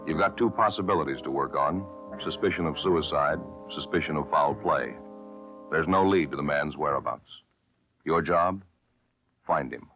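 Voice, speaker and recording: A male radio announcer with a grave, measured, emphatic formal delivery from a 1950s broadcast. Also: extremely low pitch. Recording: muffled old radio broadcast with music behind.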